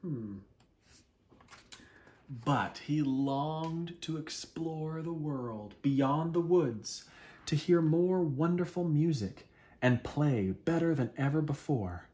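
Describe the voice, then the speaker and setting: Male storyteller, 30s, expressive children's read-aloud, unhurried and very animated in intonation. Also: a little high. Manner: dramatic, deliberate pauses and interjected wonder. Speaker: storyteller reading a picture book. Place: small living room at home, indoors.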